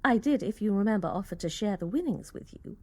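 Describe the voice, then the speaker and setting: A woman's voice: medium pitch, expressive, fast talker, warm tone, no echo, lightly acted. A woman playing a self-righteous, grudge-bearing office worker in a radio play, speaking in a prim, haughty way. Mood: prim, reproachful.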